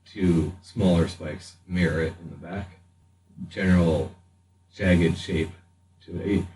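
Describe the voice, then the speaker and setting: A man speaking as game master, improvising narration for a tabletop roleplaying game with halting pauses mid-sentence. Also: low voice, fairly even intonation, a little mellow. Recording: roomy, distant mic with faint noise.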